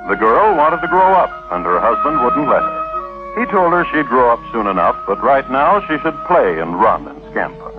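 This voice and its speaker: A man playing a wry true crime storyteller, narrating a scripted 1950s radio drama with deliberate phrasing. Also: medium pitch, big pitch swings, lightly acted. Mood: wry, droll. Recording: tinny 1950s radio broadcast with music. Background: music.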